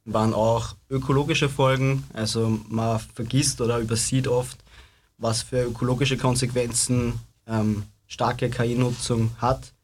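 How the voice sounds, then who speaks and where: A man's voice: mid-range voice, flat delivery, measured pace. A man speaking reflectively as a guest in a spoken discussion.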